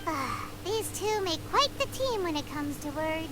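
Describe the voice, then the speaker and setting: A tiny fairy sidekick with an extremely high, squeaky child's voice. The delivery is excitable and swings widely, in cartoonish anime game style. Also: female voice, moderate pace. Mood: musing.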